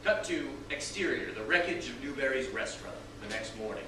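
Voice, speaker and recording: A man's voice: medium pitch, animated, fairly quick. A man reading scripted scene directions as narrator, recorded at a distance in a very echoey, noisy room.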